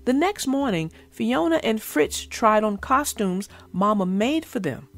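A woman narrating a storybook for children in an animated, expressive way. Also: on the high side, measured pace, faint music behind. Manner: expressive, addressing listeners directly. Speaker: children's read-aloud narrator.

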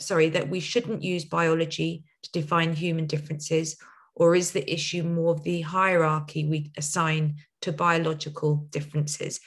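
Lower-voiced woman moderating an online talk. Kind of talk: reading audience questions. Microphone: video call.

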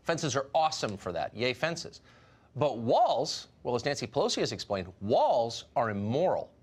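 A man's voice: mid-range voice, big pitch swings, moderate pace, breathy edge. A man in his forties hosting a television news show, delivering a mocking scripted monologue from a studio on a lapel mic. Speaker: news host.

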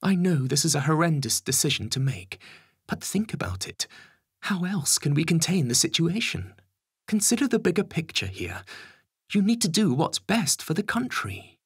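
Man voicing a high-pitched, coldly insistent Home Secretary. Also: very animated, moderate pace, slightly rough, dry recording, studio quality, exaggerated voice. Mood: persuading. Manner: insistent, calculating. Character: cold, pragmatic government Home Secretary, arguing for sacrifice. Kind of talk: acted dialogue in a dramatic story reading.

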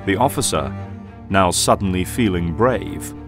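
Low-voiced male dramatic narrator. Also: lively intonation, measured pace, over music.

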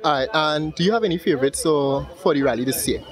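Male interviewer, brisk, slightly high voice, outdoor crowd around. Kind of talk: casual event interview. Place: outdoor rally paddock. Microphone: handheld mic. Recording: outdoor event with crowd noise.

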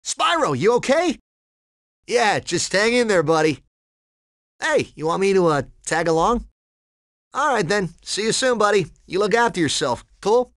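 A man's voice: sits high, sing-song, normal speed, a bit bright, dry recording, clean studio sound, full character voice. A man voicing a cocky, laid-back young cheetah sidekick in a video game, breezy, slangy and friendly. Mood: casual, friendly. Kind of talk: animated video game voice acting.

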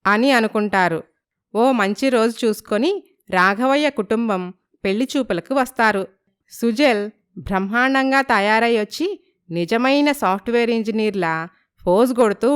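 Clear-voiced woman narrating a story. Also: fairly high pitch, a little slow. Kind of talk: scripted moral story narration.

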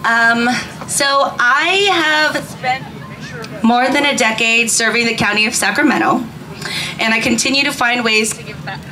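Bright, high-voiced woman emcee. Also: a little slow, pauses often, poor audio. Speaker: event co-host. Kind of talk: public event emceeing. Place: outdoor festival stage. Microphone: stage microphone through PA speakers. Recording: outdoor PA with faint noise.

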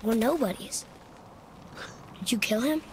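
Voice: mid-range voice, animated, a little slow, a little hiss or hum. An actor voicing a curious, tender-hearted young boy in a video game, earnest and full of questions. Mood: earnest, questioning. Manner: earnest, inquisitive. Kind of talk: video game cutscene acting.

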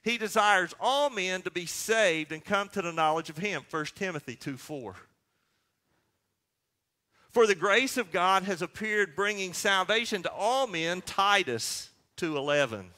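A man's voice: high voice, expressive, a little slow, pushed and bright. A man in his sixties preaching a sermon as pastor, speaking emphatically and posing questions that he answers himself. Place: church sanctuary.

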